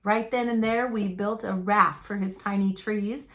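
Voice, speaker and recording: A woman reading a children's picture book aloud in an animated, folksy storytelling manner. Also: mid-range voice, a little slow. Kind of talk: expressive read-aloud narration of a children's book.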